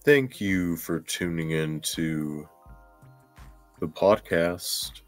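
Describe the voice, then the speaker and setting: A man with a very low voice talks at an unhurried pace over faint music. He hosts a casual true crime podcast, halting and thinking aloud as he goes. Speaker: podcast host.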